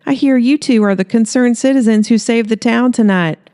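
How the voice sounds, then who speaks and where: A woman's voice: on the high side, brisk, warm tone, clean tone, voice pushed up, lightly acted. A woman voicing a puzzled, polite female TV reporter with a questioning, incredulous manner in an audiobook.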